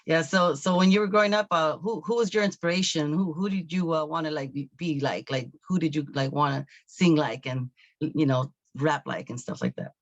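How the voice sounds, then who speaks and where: A man hosting a casual interview, hesitant and searching for words, with agreeable interjections and a creaky voice. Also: a little high, brisk, no echo, lo-fi sound. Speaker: talk show host interviewer.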